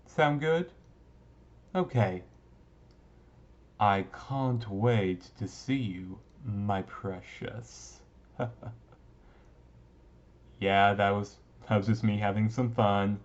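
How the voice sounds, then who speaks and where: A man playing a shy, young-looking goth vampire classmate in a romantic roleplay, speaking hesitantly and casually to the listener with gentle teasing. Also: fairly low pitch, extremely slow, long pauses, dull audio. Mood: playful, fond. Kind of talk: romantic roleplay audio drama, talking to listener.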